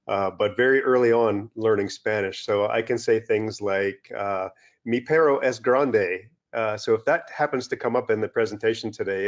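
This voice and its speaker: Man, middle-aged, from western canada, lower voice, webinar lecture, filler-heavy, muffled video-call audio. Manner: relaxed and explanatory, with many fillers and restarts. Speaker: turfgrass scientist presenting a webinar. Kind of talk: webinar lecture over slides. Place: small indoor room, video call.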